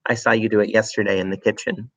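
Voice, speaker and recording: A man's voice: fairly low pitch, flat delivery, quick pace, fairly bright tone, no room sound. A man voicing a clever, cheeky little girl who speaks with sweet innocence. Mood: matter-of-fact.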